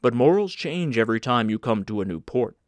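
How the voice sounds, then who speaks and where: A man voicing a blunt, gruff ship's stoker. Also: medium pitch, expressive, fairly quick, no room sound, natural voice. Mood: blunt, insistent. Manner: vigorous, argumentative, blunt. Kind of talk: audiobook character acting.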